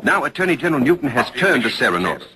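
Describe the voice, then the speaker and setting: A man's voice: mid-range voice, sing-song, quick pace, close to an everyday voice. An actor playing an urgent male reporter giving live commentary on a trial in an old radio drama. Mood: observant, tense. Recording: noisy old radio broadcast recording.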